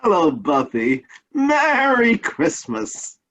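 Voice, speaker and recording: Man playing a husky, lively, affectionate dad. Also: fairly high pitch, slow pace, full character voice, rough recording. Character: affectionate father, home late on Christmas Eve. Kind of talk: old-time radio drama acting.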